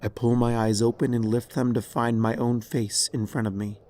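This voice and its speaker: A man reading dramatic fiction as narrator in a somber, measured way. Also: medium pitch, flat delivery, dark tone. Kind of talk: dramatic scripted story narration.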